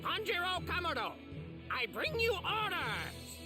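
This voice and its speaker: A woman's voice: very high voice, sing-song, measured pace, over music, exaggerated voice. A woman voicing a pompous, commanding messenger crow that proclaims orders in an anime video game.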